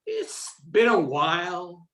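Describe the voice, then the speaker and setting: A man's voice: high voice, huge pitch swings, slow, fairly bright tone, exaggerated voice. A man playing an awkward, flustered professor in a radio comedy play, hesitant and prone to stammering. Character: awkward, flustered, charmless male professor smitten with a colleague.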